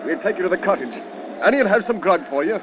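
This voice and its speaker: A high-voiced, kindly coastal rescuer. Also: male voice, lively intonation, quick pace. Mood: urgent, reassuring. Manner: soothing, urging, folksy. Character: kindly, take-charge coastal rescuer soothing a young survivor. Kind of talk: old-time radio drama acting. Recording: dull old radio recording, loud noise.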